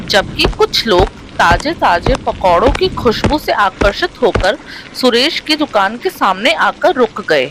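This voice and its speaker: Lady storyteller, slow scripted narration, rain and thunder noise in the back. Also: medium pitch.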